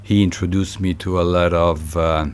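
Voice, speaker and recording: A man from Italy, a musician guest in a studio interview, talking softly and hesitantly with many pauses and fillers. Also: low-pitched, little pitch movement, smooth. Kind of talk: relaxed interview talk. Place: recording studio.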